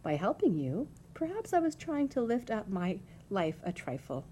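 A woman as a calm, wise, mellow storybook spider. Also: medium pitch, a little hiss or hum, natural voice. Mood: reflective. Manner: measured, articulate, matter-of-fact. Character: wise, calm female spider, gently firm, motherly.

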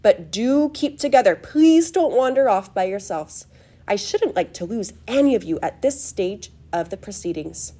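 A woman voicing an excitable, eccentric old male factory owner in a storybook, high and fluty, with effusive, gushing exclamations. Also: moderate pace, natural voice. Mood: brisk, cheery.

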